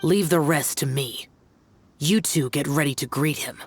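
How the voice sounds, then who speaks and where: A man's voice: on the high side, measured pace, gravelly, slight background noise. An actor voicing a stern, aloof young male demon-slaying guardian in a game cutscene, solemn and terse. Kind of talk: video game cutscene voice acting. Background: game music score.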